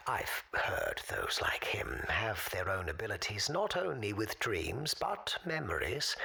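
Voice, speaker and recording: A woman playing a wistful, erudite male caller in a radio drama, speaking formally and with measured eloquence in a husky, breathy voice. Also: rumbling low, sharp tone, heavily acted. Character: forgotten, wistful, erudite man calling a radio show. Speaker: recurring caller on a radio show. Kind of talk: audio drama monologue, storytelling.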